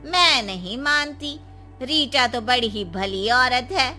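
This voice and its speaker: A woman's voice: high voice, takes it slowly, bright, forceful tone, faint music behind, full character voice. A woman voicing a nosy, kindly neighbor woman in a cartoon story. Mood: concerned.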